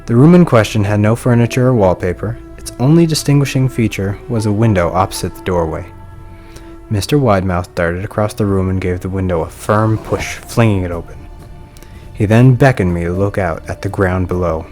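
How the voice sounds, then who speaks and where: Man, fairly low voice, scripted creepy-story narration, faint music behind. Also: a little fast. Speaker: narrator reading a horror story. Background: soft music.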